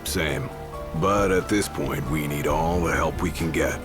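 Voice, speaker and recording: An actor playing a practical, loyal bodyguard in a video game, speaking in a terse, blunt, casual way. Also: male voice, extremely low pitch, measured pace. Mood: focused. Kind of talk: video game cutscene acting. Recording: music over the voice.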